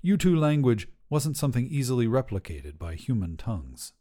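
A man's voice: mid-range voice, very animated, normal speed, dark tone. A man reading a scripted short story as a podcast narrator.

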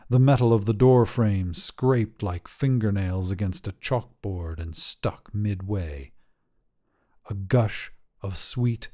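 A man reads short fiction as a podcast narrator. His voice sits fairly low, with a very soft, dark and relaxed tone. He tells the story in a measured, dramatic way, as scripted narration.

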